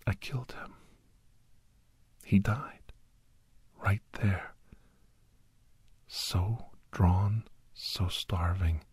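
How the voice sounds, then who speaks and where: A man voicing a doctor who recounts a harrowing battle in halting, intense phrases, in a breathy near-whisper, in an ASMR story. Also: sits low, darkish tone, no echo, heavily acted. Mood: grave, shaken. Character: doctor recounting a harrowing battle beside his young ward. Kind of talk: dramatic first-person ASMR storytelling.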